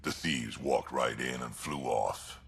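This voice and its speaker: Super deep gravelly alien bruiser, blunt, hard edge on it. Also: male voice, slow pace, a bit bright, a little hiss or hum, heavily acted. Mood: determined. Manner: blunt, growling threats. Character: gruff alien warrior man, blunt and tough. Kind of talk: video game dialogue.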